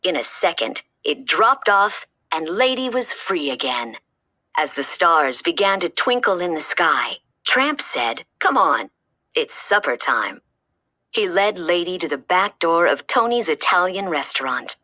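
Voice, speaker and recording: Animated woman reading a children's story. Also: lowish voice, measured pace. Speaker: storybook reader. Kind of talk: expressive read-aloud narration of a children's story.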